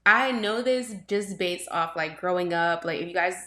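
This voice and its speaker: A young woman from Trinidad and Tobago hosting a reaction video, talking casually and rambling, full of fillers, restarts and quick laughs. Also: on the low side, a bit bright. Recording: roomy echo.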